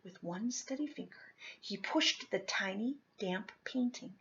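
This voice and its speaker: A woman reading a children's picture book aloud expressively, with deliberate phrasing, dramatic pauses and emphasis. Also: medium pitch, lively intonation, fairly bright tone, dull audio. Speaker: read-aloud storyteller.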